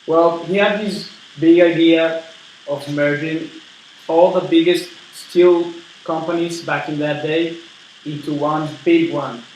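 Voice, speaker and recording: A man playing an eager, polite steel tycoon in a role-played interview, earnest and halting as he repeats words. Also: a little high, steady tone, darkish tone, recorded from a distance. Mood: proud. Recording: very echoey room with background noise.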